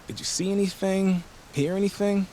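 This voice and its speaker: An actor playing an earnest, polite young male police officer with a measured, procedural manner in a video game cutscene. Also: high voice, dark tone, a little hiss or hum, close to an everyday voice, rough recording. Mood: polite inquiry.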